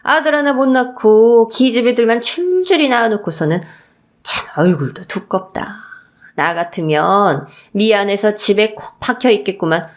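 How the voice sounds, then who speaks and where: A woman's voice: medium pitch, normal speed, natural voice. Woman playing old mother-in-law, spiteful, nagging, contemptuous, scolding, radio story drama. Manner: scolding, contemptuous, sighing exclamations.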